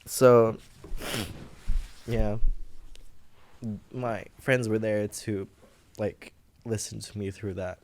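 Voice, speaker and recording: Young guy, visayan, talks slow and halting with a gravelly edge, searching for words. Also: fairly low pitch, steady tone, slight background noise. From Philippines. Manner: soft-spoken, halting, searching for words, laughs nervously. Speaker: podcast guest. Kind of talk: personal story in casual interview.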